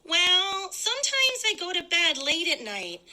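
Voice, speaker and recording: Woman putting on nosy neighbor lady, shift up in pitch, very bright, accusatory, stage play reading. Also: wildly swooping pitch, unhurried, exaggerated voice. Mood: insinuating. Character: suspicious gossiping female neighbor, accusatory. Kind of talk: dramatic read-aloud of a teleplay.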